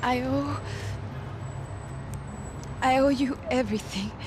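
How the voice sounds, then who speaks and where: A woman playing a grateful, grieving, gentle young survivor in a video game, softly halting and heartfelt. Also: high-pitched, noisy background. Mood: grateful, tender. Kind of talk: cinematic video game acting.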